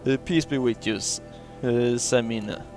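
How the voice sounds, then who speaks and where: A man casually playing a video game and reading its text logs aloud. He reads haltingly, filling gaps with "uh" and the odd chuckle, in a voice of middling pitch. Game music plays faintly behind him. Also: a little mellow. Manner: halting read-aloud with many "uh" fillers and chuckles. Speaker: gamer playing through a game.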